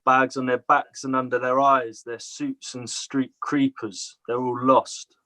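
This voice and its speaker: A man reads his own poem very slowly and with measured pauses. His voice is bright and forceful, recorded dry and close with no room echo. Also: medium pitch, level tone. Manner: slow, measured reading. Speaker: poet.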